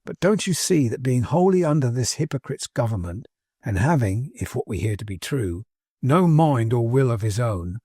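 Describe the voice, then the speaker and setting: Storybook role read by a man: earnest, proud young gentleman, measured formal diction, middle register, close dry recording. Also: mid-range voice, big pitch swings, husky, dry recording. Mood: proud, indignant. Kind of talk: dramatised audiobook character dialogue.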